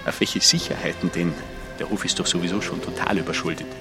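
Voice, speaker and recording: A man's voice: low-pitched, fairly even intonation, fast. An actor playing an evasive, officious male bank clerk, placating and bureaucratic, in a radio drama. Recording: music behind the voice.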